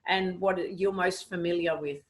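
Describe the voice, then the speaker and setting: A woman's voice: on the low side, steady tone, normal speed. A woman from Switzerland, a guest animal communicator, answering interview questions in an earnest, explaining way with many "you know"s, over a video call.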